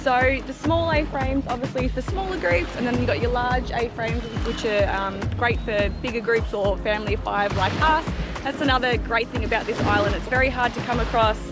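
A woman's voice: fairly high pitch, fairly quick, loud music behind the voice. A mother narrating a family travel vlog outdoors on an island, chatty, upbeat and informal. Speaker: travel vlogger, mother of three.

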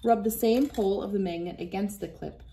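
A woman's voice: medium pitch, fairly quick, dark tone. Woman reading aloud to young children. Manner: instructive, addressing young children. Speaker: children's read-aloud host. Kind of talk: reading a picture book aloud with casual demonstration asides. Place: small wood-panelled room indoors.

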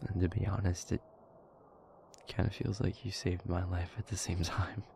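A man playing an earnest, warm-hearted young friend in an intimate audio roleplay, casual, sweary and a bit hesitant. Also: deep voice, monotone, moderate pace, gravelly, a little hiss or hum. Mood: earnest, apologetic.

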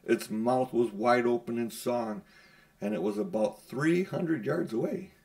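Middle-aged man reading aloud, gravelly edge. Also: mid-range voice, a little slow, darkish tone. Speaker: audiobook reader. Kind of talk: reading a children's novel aloud. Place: small room at home.

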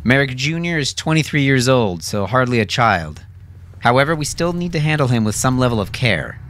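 A man voicing a brisk, businesslike military captain who gives clipped, authoritative orders in an audio drama. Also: mid-range voice, big pitch swings, a bit bright, slight background noise, pitched above the performer's own voice.

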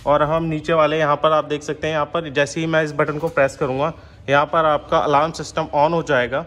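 A man's voice: a little high, little pitch movement, fairly quick, a bit bright. A man giving an unscripted, step-by-step product demonstration, mixing in English technical terms. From India.

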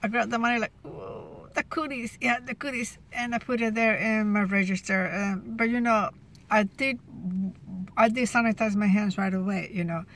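A woman's voice: a little high, fairly even intonation, normal speed, a bit bright. A woman in her fifties chatting casually from a parked car about her job as a cashier, often restarting her sentences, filmed on a phone held at arm's length. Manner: chatty, restarts often, laughs at gross moments. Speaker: cashier sharing her workday. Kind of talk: casual car vlog chat. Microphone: phone camera at arm's length.

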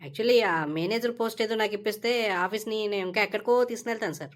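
A woman's voice: medium pitch, fast talker, close to an everyday voice. A woman voicing a brash, entitled and scheming young male office worker in a comedy cartoon, talking casually and cockily. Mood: flattering, wheedling. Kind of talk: Telugu comedy cartoon dialogue.

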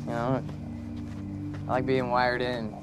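An actor playing a wry, joking young workaholic with dry, casual sarcasm in a naturalistic screen drama. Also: male voice, medium pitch, monotone, takes it slowly, music in the background, lightly acted.

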